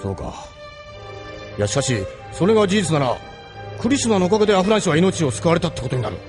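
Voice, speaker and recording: An actor playing a stern, weary, responsible male ship captain in an anime audio drama, gruff and authoritative. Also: a little high, big pitch swings, quick pace, over music. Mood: serious.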